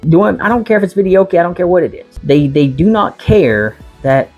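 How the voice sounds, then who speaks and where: A woman's voice: lowish voice, expressive, moderate pace, darkish tone, breathy edge, lo-fi sound, soft background music. A young American woman talking frankly in a casual vlog, chatty and laughing often. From United States. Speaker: vlogger.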